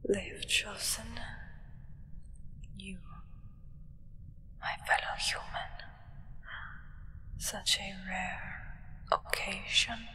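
A woman's voice: medium pitch, fairly even intonation, sharp tone, in a large hall, heavily acted. Woman playing a whispering, solemn vampire's acolyte. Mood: solemn, reverent. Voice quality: breathy whisper. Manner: slow, measured, reverent, formal. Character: solemn, devoted human acolyte of a vampire sovereign. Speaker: ASMR roleplay performer. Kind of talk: whispered ASMR roleplay.

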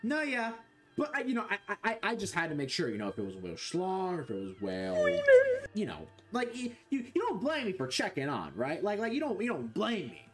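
A man's voice: high voice, huge pitch swings, a little fast, a bit bright, faint music behind. A young man streaming a game from a small room, joking crudely and rambling with his chat. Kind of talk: live game stream commentary.

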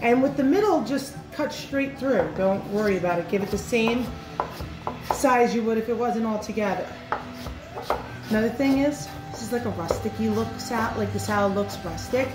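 A woman's voice: fairly high pitch, moderate pace. A woman in her thirties, a chef giving an upbeat, chatty cooking demonstration in her home kitchen, filmed by a camera set in front of her. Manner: upbeat, chatty instructions with frequent fillers. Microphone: camera in front, mid-distance. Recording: roomy kitchen echo with music.

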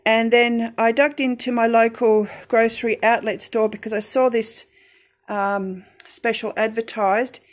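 A middle-aged woman with an Australian accent, calmly narrating a budget grocery haul with frequent ums. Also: on the high side. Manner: calm, unhurried, frequent ums. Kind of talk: casual voice-over narration.

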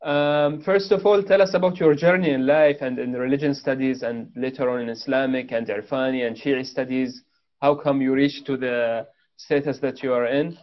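A man's voice: mid-range voice, steady tone, normal speed. Young male host, formal, scripted questions, dull lo-fi webcam audio. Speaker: interview host. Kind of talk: formal interview introduction. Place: indoor room at home.

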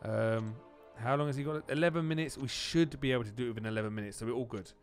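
A man giving casual, excitable gameplay commentary as a video host, often repeating phrases for emphasis. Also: medium pitch, quick pace, soft background music.

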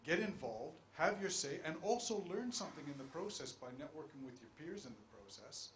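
A man's voice: fairly high pitch, animated, fairly quick. A middle-aged man on a conference panel, urging the audience to get involved, on a handheld mic. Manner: urging, persuasive. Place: conference room. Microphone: handheld microphone. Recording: echoey conference room, faint hiss.

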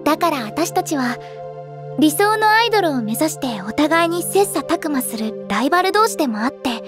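A woman playing an earnest, expressive teenage girl idol in an anime audio drama. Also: high voice, moderate pace, music in the background. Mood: earnest, fond. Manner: girlish, emotive, earnest. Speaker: voice actress, narrator and lead.

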